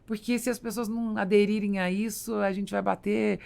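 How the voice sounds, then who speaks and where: A Brazilian woman with a creaky voice hosting a conversational business podcast, explaining and affirming as she interviews. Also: medium pitch, fairly even intonation, fairly quick, darkish tone. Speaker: podcast host and business consultant.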